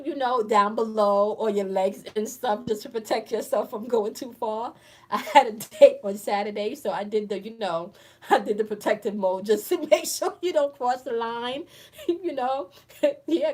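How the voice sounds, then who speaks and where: A woman in her forties hosting a casual live chat, reading viewer comments aloud and answering with playful, laughing banter. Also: on the high side. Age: 40s. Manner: chatty and playful, laughs often, addresses viewers as y'all.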